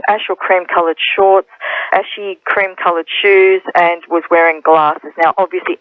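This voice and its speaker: A woman's voice: fairly low pitch, dry recording. A woman giving a formal police public appeal over a phone line. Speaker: police spokesperson. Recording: thin, narrow phone-line audio.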